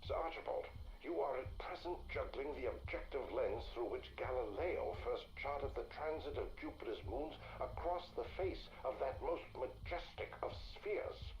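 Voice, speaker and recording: An actor playing an older, shrewd and erudite gentleman scholar, speaking in a measured, wry, theatrical and often hushed way in a period game audio log. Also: medium pitch, lightly acted. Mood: tense, insistent. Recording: roomy audio log with faint noise.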